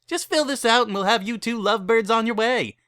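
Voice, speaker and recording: A man's voice: extremely high pitch, fairly quick, fairly bright tone, no echo, studio quality, pitched above the performer's own voice. A man voicing a cheery, pushy pony mare who runs a wedding chapel, with eager sales patter in a dramatic reading. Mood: gushing, pushy. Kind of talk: dramatic reading of fan fiction.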